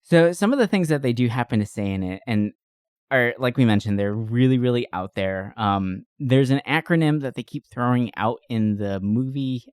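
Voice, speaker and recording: A young adult man hosting a podcast, recapping movies in a casual, comedic way with animated storytelling, swearing and slangy asides. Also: medium pitch, clean tone, no room sound, studio quality. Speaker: podcast host.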